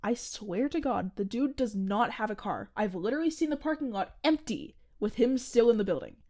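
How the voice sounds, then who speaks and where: A woman's voice: on the high side, expressive, normal speed, darkish tone. Woman playing a chatty, earnest teenage boy. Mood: insistent. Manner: casual, rambling, insistent. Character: chatty, earnest seventeen-year-old male podcaster, easily offended. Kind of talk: audio fiction podcast acting, conversational.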